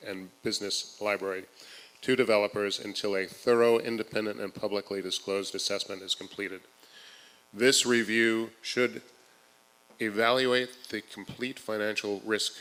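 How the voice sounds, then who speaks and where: A man's voice: on the low side, pushed and bright. A man addressing a public forum as its host, speaking in a large echoing hall. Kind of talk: public forum address, partly read from a letter.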